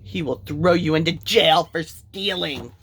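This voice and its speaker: Woman acting out a loud, greedy, blustering storybook lord. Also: sits low, unhurried, creaky, slight background noise. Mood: indignant, threatening. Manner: loud, demanding, exclaiming. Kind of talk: storybook read-aloud character voice.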